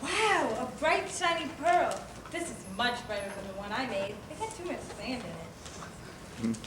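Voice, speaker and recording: A woman's voice: sits high, animated, normal speed. A woman playing a supportive, earnest sea creature friend in a children's stage play. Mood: amazed. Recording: echoey stage, distant mic, noisy.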